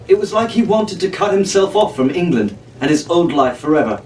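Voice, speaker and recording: A man voicing a proud, formal young gentleman sailor in an audiobook, protective and condescending. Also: sits high, expressive, brisk, voice pushed up. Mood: solemn. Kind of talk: audiobook character voice. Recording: roomy, noisy, distant microphone.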